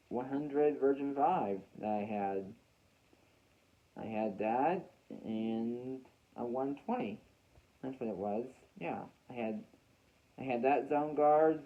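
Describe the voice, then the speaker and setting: A young American man speaks in a mid-pitched voice through a dull, muffled home recording. He tells his story casually to viewers without a script. He often restarts and repeats phrases as he finds his way. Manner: halting, repeats and rephrases phrases. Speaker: weather radio hobbyist vlogger.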